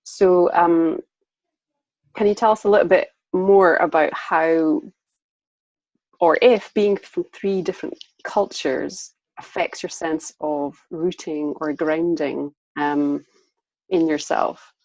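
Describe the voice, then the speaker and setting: A woman's voice: low voice, steady tone, slow pace, a little mellow, no echo, muffled recording. A woman hosting an online interview, introducing her guest in an enthusiastic way. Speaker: host and interviewer.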